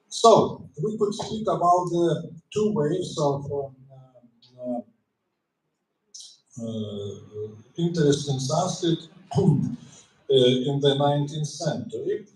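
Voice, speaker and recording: A middle-aged Lithuanian-accented man giving an academic conference lecture in English, pausing often with fillers as he speaks. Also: fairly high pitch, warm tone. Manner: hesitant, many filler pauses. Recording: echoey, distant from mic.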